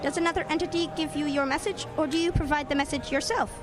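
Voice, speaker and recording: Performer as alien-like male visitor, high unnatural mechanical voice, stilted formal phrasing, courteous, radio play. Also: fairly even intonation, fast talker, over music, close to an everyday voice. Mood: curious, polite. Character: strange otherworldly male visitor, glassy-eyed, formal, courteous, helpful healer. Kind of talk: radio drama acting.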